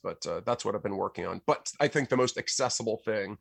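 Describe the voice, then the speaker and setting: A man chatting casually as a podcast guest about his work as a musician, in a rambling, halting way that stumbles over words. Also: lowish voice, creaky, husky, dry recording, studio quality. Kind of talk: casual chat about own projects.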